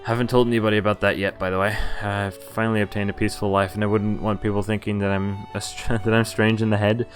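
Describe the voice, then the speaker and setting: Low-voiced man narrating a visual novel over music. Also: little pitch movement, fast talker, hardly any pauses. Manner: reads story text aloud with casual commentary. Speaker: streamer reading a visual novel aloud. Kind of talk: game playthrough narration, first-person reading with casual asides. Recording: game music behind the voice. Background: game music.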